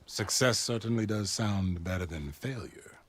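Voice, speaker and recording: A man acting a pompous, coldly gracious leader with deliberate, theatrical delivery in a video game. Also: fairly low pitch, a little hiss or hum, natural voice. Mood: haughty. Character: imperious, pompous male leader, coldly magnanimous.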